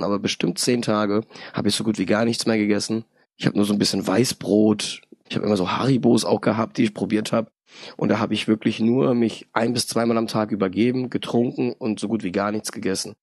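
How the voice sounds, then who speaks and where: Low gravelly man in his thirties. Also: fairly even intonation, fairly quick, darkish tone, no room sound. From Germany. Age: 30s. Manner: reflective, often adds tag questions. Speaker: podcast guest. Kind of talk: personal story in an interview.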